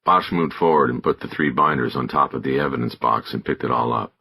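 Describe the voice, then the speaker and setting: A man reading scripted narration of a crime thriller audiobook in a gravelly, husky voice. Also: rumbling low, fairly quick, sharp tone.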